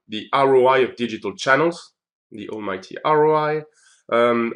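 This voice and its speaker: A man's voice: lowish voice, a bit bright. A man giving a chatty conference recap on his video blog, with many ums and uhs. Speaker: video blogger.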